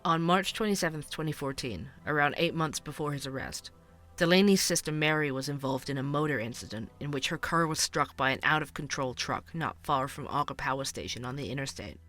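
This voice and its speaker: Man acting as true-crime host, serious, measured, bright voice, background music, audio drama. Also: on the high side, animated. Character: male true-crime podcast host narrating and interviewing. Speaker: podcast host. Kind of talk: scripted true-crime narration.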